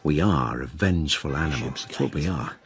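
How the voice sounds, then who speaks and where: An actor playing a brooding, world-weary writer, musing in a halting, sardonic way as he narrates a radio drama about plague times. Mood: wry, bleak. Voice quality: deep, gravelly, husky.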